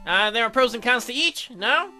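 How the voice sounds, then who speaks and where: Gaming co-host, piercingly bright, playing out visual novel dialogue with mock-indignant banter over music. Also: medium pitch, expressive, moderate pace. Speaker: let's play co-host voicing a character. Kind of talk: acting out game dialogue with banter. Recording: background music under voice.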